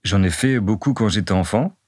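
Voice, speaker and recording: Deep-voiced young man chatting. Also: brisk, breathy edge, no echo, clean studio sound. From northern France. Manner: relaxed, repeats himself, many fillers. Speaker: dating-profile guest. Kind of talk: casual interview chat.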